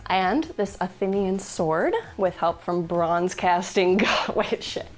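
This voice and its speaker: Jokey woman in her 30s showing off stuff, laughs a lot, voice bouncing all over, faint music underneath. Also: mid-range voice. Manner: playful, jokey, laughs often. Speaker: host. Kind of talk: casual show-and-tell of items. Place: indoor studio with a blue wall.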